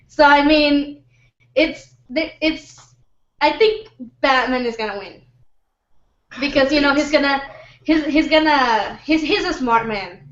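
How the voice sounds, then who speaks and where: A woman giving her view in a casual group chat, halting and repeating phrases as she goes. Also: sits high. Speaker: discussion panelist. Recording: roomy, dull lo-fi audio.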